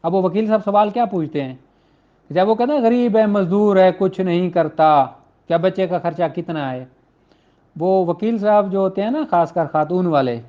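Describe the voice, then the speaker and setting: A man's voice: high-pitched, warm tone. A man with a Pakistani accent giving an informal law lecture in a conversational, questioning way. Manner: conversational, poses rhetorical questions, acts out courtroom exchanges; switches between Urdu and slowly read English legal text. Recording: dull, lo-fi muffled audio.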